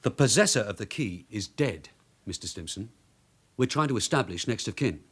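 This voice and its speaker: An actor playing a shrewd, hard-nosed long-serving police detective sergeant in a radio drama, matter-of-fact and dryly authoritative. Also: male voice, on the low side, expressive, normal speed, breathy edge. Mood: firm, official. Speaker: actor in the lead role.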